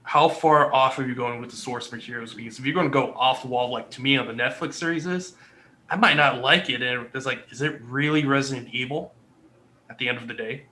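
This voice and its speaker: A man's voice: mid-range voice, a little fast, sharp tone, a little hiss or hum. A man speaking casually as a podcast panelist, emphatic and opinionated. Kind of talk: casual panel discussion about movies.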